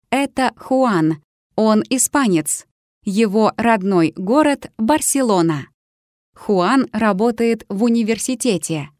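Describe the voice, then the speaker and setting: A woman reading lesson dialogues aloud in a measured, carefully articulated way. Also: medium pitch, no room sound, clean studio sound. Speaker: language teacher.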